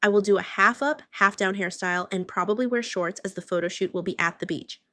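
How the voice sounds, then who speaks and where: A woman's voice: mid-range voice, brisk. A woman vlogger narrating a roleplay morning-routine video, chatting directly to her viewers. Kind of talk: voiced roleplay vlog narration.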